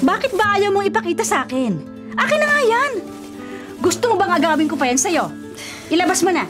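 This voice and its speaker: High-pitched, bossy elder sister. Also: female voice, big pitch swings, fairly quick, over loud music. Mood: suspicious, demanding. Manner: insistent, scolding. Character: bossy, stubborn, superstitious elder sister, scolding her siblings. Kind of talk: radio drama acting.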